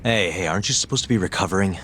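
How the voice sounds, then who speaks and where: An actor playing a world-weary, guarded private investigator with a dry manner in a video game. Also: male voice, low voice, racing, a bit bright, slight background noise. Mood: calm, sober. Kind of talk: video game cutscene dialogue. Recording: music behind the voice.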